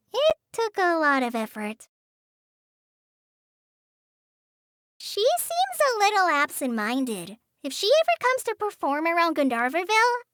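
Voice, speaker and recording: A woman's voice: extremely high pitch, no echo, studio quality, full character voice. A woman voicing a shy, earnest teenage girl in a video game, hesitant and apologetic in the way she talks. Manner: hesitant, apologetic, soft-hearted, halting with stammers. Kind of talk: video game character voice lines.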